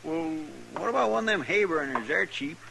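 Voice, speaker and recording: An actor playing a folksy, complaining deputy sidekick with a rural American drawl in an old radio western. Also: male voice, fairly high pitch, animated, fairly quick, creaky. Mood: grumbling. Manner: drawling, homespun, grumbling. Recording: very noisy old radio recording.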